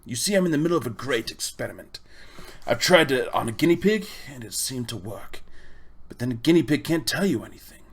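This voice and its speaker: Storybook villain: male reader as a menacing elderly uncle, breathy, deliberate, falsely polite. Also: mid-range voice, animated. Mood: sly, menacing. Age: older. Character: sly, menacing old uncle, tall thin eccentric.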